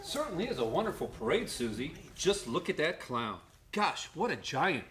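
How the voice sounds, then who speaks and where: A man's voice: on the high side, sing-song, normal speed, crowd noise. A man playing a friendly, playful grown-up humoring a child in an old-time radio play. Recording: roomy, distant mic, audience noise.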